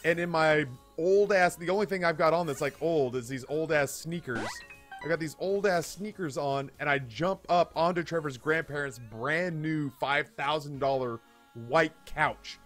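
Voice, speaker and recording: Man telling stories over music. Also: on the high side. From United States. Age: 30s. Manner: animated, hyperbolic, profane. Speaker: streamer. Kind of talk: casual comedic storytelling on a live stream. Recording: music behind the voice. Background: background music.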